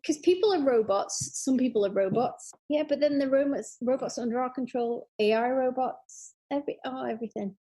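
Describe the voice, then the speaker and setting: Female podcast guest: slightly high, husky voice, keen and rambling, informal conversational delivery. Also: brisk, few pauses, mellow, low-quality recording. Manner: enthusiastic, rambling. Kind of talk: casual chat about films.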